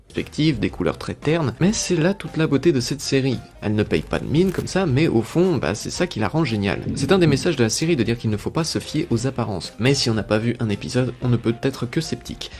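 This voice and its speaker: A man's voice: mid-range voice, animated, a little fast, hardly any pauses, darkish tone. Man narrating an enthusiastic review over music. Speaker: video essayist reviewing a cartoon. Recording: music playing under the voice. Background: background music.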